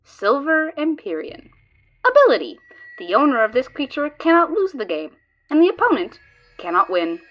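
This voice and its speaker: Woman doing cocky mischief girl adventurer, high lively voice, taunting, theatrical, audio drama. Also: normal speed, muffled recording. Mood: gloating. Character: cocky, mischievous female card-playing adventurer, sharp-tongued.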